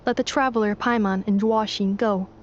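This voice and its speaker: An actress playing an earnest, resolute young former ruler in a video game, speaking gently but with determination. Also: female voice, medium pitch, fairly even intonation, moderate pace, lo-fi sound, background noise, natural voice.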